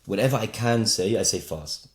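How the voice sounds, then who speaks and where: A man's voice: lowish voice, moderate pace, roomy sound. A man guest on a podcast, chatting casually and explaining ideas with examples, often restarting and repeating words. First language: Polish speaker of English. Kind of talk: casual interview conversation.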